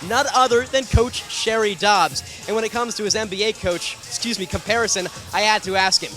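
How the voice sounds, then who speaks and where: Young male sports reporter, very bright high voice, punchy scripted feature read, light music behind. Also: a little fast. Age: young adult.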